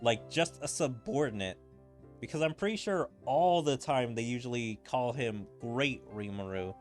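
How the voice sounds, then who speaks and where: A man's voice: medium pitch, soft background music. A young man streaming a game, chatting in an amused, casual way about what happens on screen. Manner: chatty, amused, quick laughs. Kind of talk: casual gameplay commentary.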